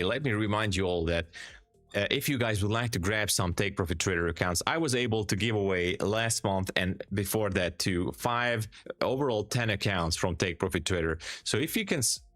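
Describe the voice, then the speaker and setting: Deep-voiced Hungarian-accented man, calm streamer. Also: dry recording. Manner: calm, easygoing, repeats words for emphasis. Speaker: live-stream trader. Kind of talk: casual live-stream chat, reading viewer comments aloud.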